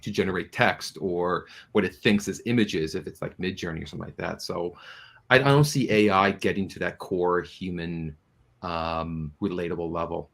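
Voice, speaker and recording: A man with a low-pitched voice answers as an interview guest. He is earnest and explanatory, feeling his way through each point in a measured, conversational manner. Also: creaky, a bit bright. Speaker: interview guest, audio drama producer.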